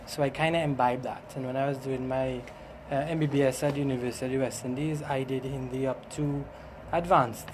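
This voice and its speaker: A man's voice: mid-range voice, steady tone, fairly quick, hardly any pauses, noisy background. A middle-aged man with a Trinidadian accent, explaining things informally as an interview guest, with some hesitation. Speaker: interview guest, language teacher and doctor.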